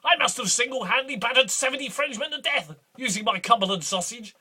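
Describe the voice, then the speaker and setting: A man playing a boastful, belligerent duke in a rambling, bombastic comic monologue, with a husky voice. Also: very high voice, animated, quick pace, bright, forceful tone, full character voice. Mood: blustering. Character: boastful blustering male Scottish duke, belligerent. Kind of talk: improvised comic character monologue.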